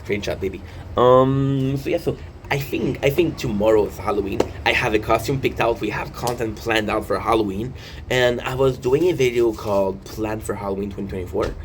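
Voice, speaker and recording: A man's voice: on the low side, normal speed. A man in his thirties hosting a casual, rambling vlog, reading and commenting with jokey asides and breaking into his own sentences. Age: 30s. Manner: jokey, self-interrupting, playful asides. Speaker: video host. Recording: clear background noise.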